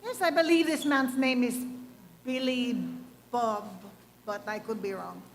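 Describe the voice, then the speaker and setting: A woman's voice: high voice, animated, unhurried, a little hiss or hum. An actress playing a journalism professor in her fifties with a Cuban accent, formal and polite with a dry tease. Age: 50s. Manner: formal, polite, dryly teasing. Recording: long hall reverb.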